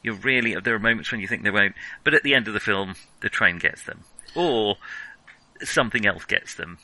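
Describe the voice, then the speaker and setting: A man's voice: lowish voice, brisk, sharp tone, no echo, poor audio. A man discussing film in conversation, reflective and hesitant as he thinks aloud. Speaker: podcast host.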